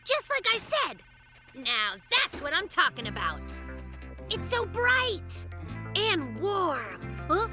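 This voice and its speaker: Very high, excitable cartoon bear cub. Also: slow pace, full character voice. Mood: eager, opinionated. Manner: exuberant, exclamatory, youthful slang. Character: excitable boyish polar bear cub, boastful little inventor. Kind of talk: children's cartoon voice acting. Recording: children's cartoon music behind.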